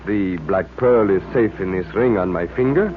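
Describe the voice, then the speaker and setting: An actor playing a courteous, grateful Egyptian gentleman with a formal, halting way of speaking in a 1940s radio serial. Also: low-pitched, phone-quality sound, close to an everyday voice. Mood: inquiring. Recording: band-limited, faintly noisy old radio recording.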